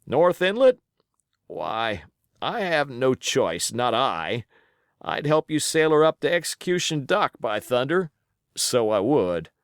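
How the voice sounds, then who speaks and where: A man voicing a rough, wheedling wounded pirate sailor from an adventure storybook, with drawled, folksy speech and rustic grammar. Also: mid-range voice, big pitch swings, slow pace, no echo, clean studio sound, pitched above the performer's own voice. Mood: eager, conceding.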